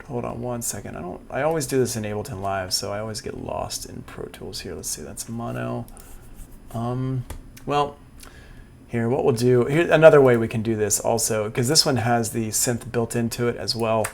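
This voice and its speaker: Gravelly man giving a casual software tutorial. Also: medium pitch, moderate pace, a little hiss or hum. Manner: casual, informal. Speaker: music production instructor. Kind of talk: live software tutorial, thinking aloud. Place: indoors, livestream class.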